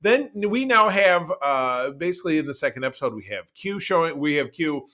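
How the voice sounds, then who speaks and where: A man co-hosting a podcast, reviewing TV in casual chat with an enthusiastic, emphatic and halting delivery that often repeats words. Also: on the high side, big pitch swings, pushed and bright. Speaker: podcast co-host.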